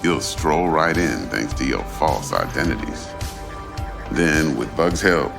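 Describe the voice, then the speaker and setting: Big slick underworld boss, very low pitch, creaky grit, US drawl, street slang, commanding, video game. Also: male voice, big pitch swings, slow pace, music in the background, exaggerated voice. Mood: firm, commanding. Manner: smooth, commanding, folksy slang. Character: big, slick, street-smart male crime fixer boss. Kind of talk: dramatic video game acting.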